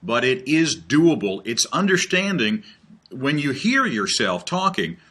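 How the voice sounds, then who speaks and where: Man, Northeastern American accent, host, friendly questions, bright tone, slightly husky. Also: medium pitch, big pitch swings, unhurried. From Northeastern United States. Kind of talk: casual call-in chat.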